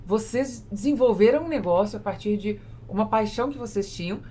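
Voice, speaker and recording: A woman's voice: medium pitch, a little fast, mellow. A Brazilian woman hosting a podcast, talking casually about business. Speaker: podcast host. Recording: clear background noise, room ambience.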